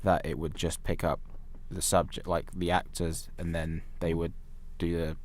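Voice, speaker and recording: Low-voiced man chatting about films. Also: flat delivery, normal speed, a little hiss or hum. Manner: informal, frequent "like". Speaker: podcast co-host. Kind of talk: casual film discussion.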